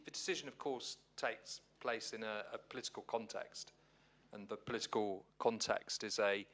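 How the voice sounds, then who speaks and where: A male reporter puts a question at a press conference. His voice is fairly low with a sharp, bright edge, and he moves haltingly through his phrases. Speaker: newspaper reporter.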